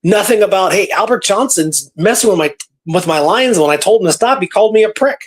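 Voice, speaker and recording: A man's voice: high-pitched, animated, brisk. A man hosting a radio show, telling a story in a casual, conversational way and repeating phrases for emphasis.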